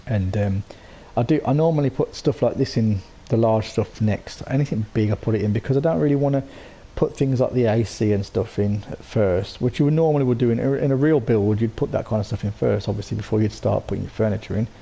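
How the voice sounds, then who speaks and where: A man with a sore throat and a croaky voice, casually talking through how he built something, rambling with lots of ums and restarts. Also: lowish voice, a little fast, hardly any pauses, warm tone. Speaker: game settlement builder. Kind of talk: casual voice-over walkthrough.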